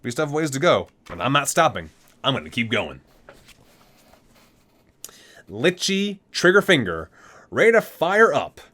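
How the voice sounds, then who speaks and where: A man's voice: mid-range voice, very animated, measured pace, long pauses, sharp tone. A man doing an ASMR reading, reciting expressively and dropping in casual, murmured offhand remarks. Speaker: video creator reading aloud from a game guide book.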